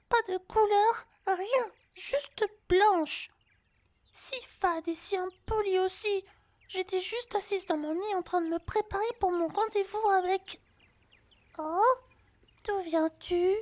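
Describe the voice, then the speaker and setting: Tiny, squeaky-high scatterbrained harpy girl. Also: takes it slowly, a little mellow. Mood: huffy, scornful, then scattered, proud. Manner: childlike, flighty, wandering delivery. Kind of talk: ASMR roleplay.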